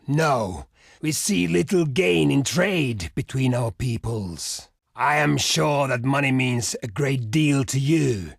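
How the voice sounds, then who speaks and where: A proud barbarian chieftain from a strategy video game. His voice sits a little high for a man and is thick with gravel and husk, recorded close and dry. He declaims solemnly and defiantly in old-fashioned phrases. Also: no echo, full character voice. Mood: dry disdain. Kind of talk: dramatic game diplomacy voice acting.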